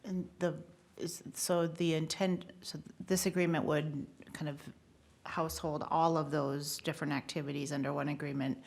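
A woman's voice: deep voice, flat delivery, moderate pace, vocal fry, darkish tone. A woman taking part in a council meeting discussion, asking questions.

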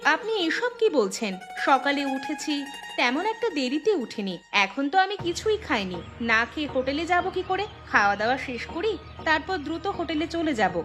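Indignant, swooping hotel worker. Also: female voice, high voice, sing-song, brisk, a bit bright, soft background music. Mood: defensive. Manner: defensive, protesting. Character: indignant young hard-working female hotel employee. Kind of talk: Bengali village cartoon dialogue.

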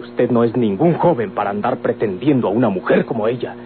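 A man's voice: mid-range voice, lively intonation, fairly quick, close to an everyday voice. A man acting in an old Mexican radio drama as a firm, defiant grown son in love, earnest and declamatory. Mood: bitter, defiant. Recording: old tinny radio recording, noisy hum.

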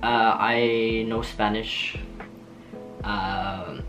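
A young man from Norway with a Norwegian accent, chatting casually to camera in a small home studio, laughing often and pausing with ums. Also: fairly low pitch. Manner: relaxed, giggly, lots of ums and restarts. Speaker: vlogger. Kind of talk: casual get-to-know-me chat. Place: small bedroom studio with shelves and posters. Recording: soft guitar music underneath.

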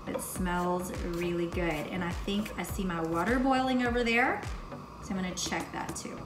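A woman's voice: lowish voice, normal speed. A woman in her thirties chatting casually through a cooking demonstration as she works. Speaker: host of a cooking show. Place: home kitchen, indoors. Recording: echoey kitchen, far from mic, music bed.

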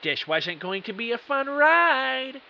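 Bewildered everyman narrator male actor, mystery audio drama. Very bright, forceful, melodramatic, over faint hiss. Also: very high voice, big pitch swings, gravelly, exaggerated voice. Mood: dramatic, intrigued. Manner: earnest, melodramatic, deliberate emphasis. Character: bewildered computer technician narrating his own mystery. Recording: dull, hissy audio.

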